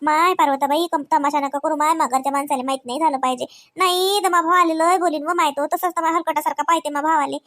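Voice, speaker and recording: A woman as a shrill-high pleading village debtor, Vidarbha accent. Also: steady tone, fast, pushed and bright. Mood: explaining, anxious. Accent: Vidarbha Marathi. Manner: wheedling, repetitive, plaintive. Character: anxious, pleading village woman who owes money. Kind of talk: comedy cartoon dialogue in rural dialect.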